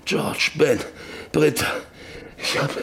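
A man acting in a German radio drama as an older, guilt-ridden father, brooding and halting, his voice gravelly and sinking toward a whisper. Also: fairly bright tone. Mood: tormented, remorseful. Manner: halting, brooding, repeats himself. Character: grief-stricken, guilt-ridden father and oil landowner, brooding, broken.

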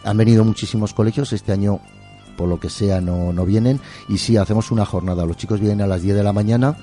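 A man's voice: fairly low pitch, fast talker, mellow, soft background music. A middle-aged man talks as an interview guest in an effusive, colloquial, rambling way. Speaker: interview guest, museum keeper and musician. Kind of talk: casual interview chat.

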